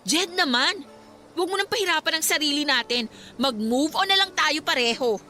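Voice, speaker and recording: High, bright-voiced fed-up college girl. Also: animated, moderate pace, faint music behind. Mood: firm, exasperated. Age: young adult. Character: young female college student, fed up with controlling ex. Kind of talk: Tagalog radio drama acting.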